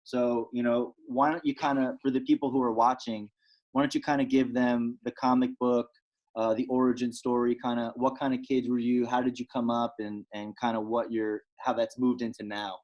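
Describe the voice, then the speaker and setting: A man's voice: medium pitch, level tone, moderate pace. A man hosting a podcast who asks his guest questions in a loose, friendly way, filling his sentences with hedging "kinda"s. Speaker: podcast host. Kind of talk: casual interview. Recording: slightly dull, lo-fi sound.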